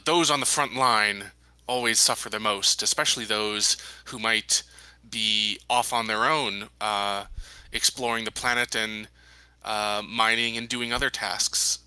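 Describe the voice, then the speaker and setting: A smooth, diplomatic company man played by a man at a gaming table, speaking in measured, formal phrases. The voice is strikingly bright and clear, on the lower side, and recorded dry. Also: fairly even intonation, dry recording, poor audio. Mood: grave, diplomatic. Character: smooth, evasive male company man reassuring colonists. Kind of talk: in-character tabletop roleplay.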